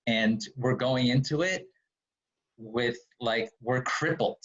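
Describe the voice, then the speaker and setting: A man's voice: mid-range voice, slow, a bit bright, dull audio. A man in his forties, a technologist and guest in a casual conversation, speaking in a blunt, urgent, emphatic way. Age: 40s.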